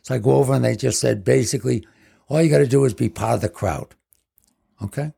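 Old guy, 70s, rough gravelly voice, kinda husky, rambles with lots of "basically". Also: mid-range voice, fast, dark tone. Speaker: guest. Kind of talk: casual storytelling.

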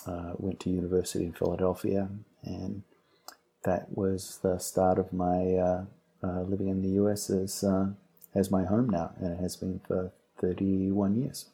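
Man, 50s, from New Zealand, very deep, gravelly, breathy, dark tone, hesitant. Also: little pitch movement. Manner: hesitant, halting, many fillers. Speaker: company co-founder, guest. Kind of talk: interview conversation.

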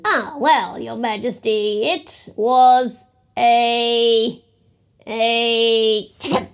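Woman doing a slow, mumbling sly advisor. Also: on the high side, fairly even intonation. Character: sly scheming royal advisor, adult man. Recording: guitar music behind.

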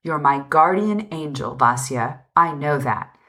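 A woman's voice: lowish voice, animated, moderate pace, fairly bright tone. A woman voicing an agitated, self-pitying husband in a storybook reading, pleading and vehement. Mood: grave, ardent.

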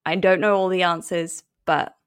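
A woman with a slightly low voice hosts a podcast. She asks her questions in a casual, friendly and encouraging way, with the occasional filler. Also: fairly even intonation, moderate pace, smooth. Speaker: podcast host. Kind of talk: casual interview conversation.

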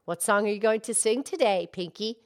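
A woman voicing a gentle female cow in a low, soft, deliberate voice, sometimes reading a tale aloud in character. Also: lightly acted. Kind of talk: storybook narration in character.

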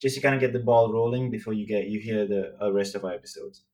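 Male podcast co-host, grew up in Sri Lanka, relaxed rambling banter over a remote line. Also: on the low side, fast, low-quality recording. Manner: laughing, easygoing, rambling. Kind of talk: casual podcast chat over a call. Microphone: remote call.

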